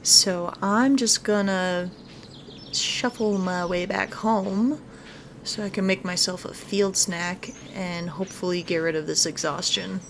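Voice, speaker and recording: A woman's voice: on the low side, fairly even intonation, unhurried, vocal fry, slight background noise. A woman hosting a gaming video with casual, chatty commentary, thinking aloud as she plays. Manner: chatty, thinking aloud, reads game dialogue aloud. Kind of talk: casual let's-play commentary. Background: background music.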